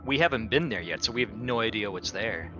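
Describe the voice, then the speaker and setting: Male player, low voice, blunt casual planning, slightly dull audio with prominent music. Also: expressive, fairly quick. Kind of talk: casual tabletop game talk.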